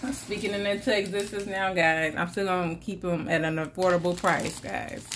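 A woman, chatting casually to her viewers while packing orders, animated and addressing them as "guys" again and again. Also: fairly low pitch, normal speed, slight background noise. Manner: animated, repeats "guys" and "like I said", laughs often. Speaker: budgeting vlogger and shop owner.

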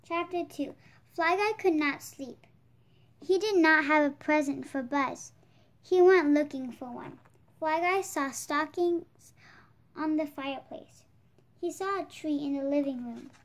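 Slow, very high-pitched young storybook reader. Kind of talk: slow read-aloud of a children's picture book.

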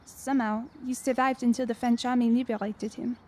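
An actress playing a sweet, curious young French music student, earnest and inquisitive, in a radio drama. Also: female voice, on the high side, little pitch movement, a little fast, warm tone, lightly acted. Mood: friendly, curious.

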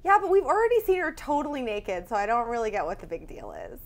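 A woman's voice: fairly high pitch, very animated, quick pace. A young woman with an American accent giving casual, emphatic fashion commentary as a show's co-host. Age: young adult. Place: indoor studio set. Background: music.

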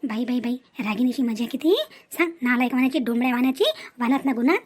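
A woman's voice: a little high, quick pace, a little mellow, close to an everyday voice. A woman voicing a vain, envious married sister-in-law in a cartoon, muttering insults and self-pity in a Vidarbha Marathi accent. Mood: bitter, envious. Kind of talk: melodramatic cartoon voice acting.

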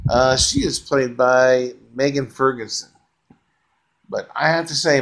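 A middle-aged man reviews a movie while lying back in his bedroom, speaking close into a webcam. His delivery is loose and unscripted, full of "uh"s and restarts, and turns gushing whenever he praises the film. His pitch sits in an ordinary male middle range. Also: poor audio. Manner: relaxed, rambling, frequent uh fillers, enthusiastic praise. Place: bedroom, lying on a couch or bed, indoors. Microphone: webcam close to the face.

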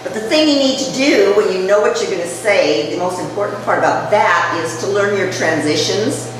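Older woman speaking low in an echoey hall. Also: moderate pace. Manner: conversational, chatty, jokes with the audience. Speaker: speaker giving a short public talk. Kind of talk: informal talk to a live audience. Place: community event room. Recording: echoey hall, mic far off, noisy.

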